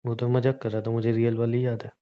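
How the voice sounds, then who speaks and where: A man's voice: mid-range voice, completely flat delivery, quick pace, clear, resonant tone. A young man chatting casually with his girlfriend in a teasing, unbothered way. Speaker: boyfriend on a phone call. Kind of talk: casual relationship chat. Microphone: phone. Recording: dull, muffled phone-call recording.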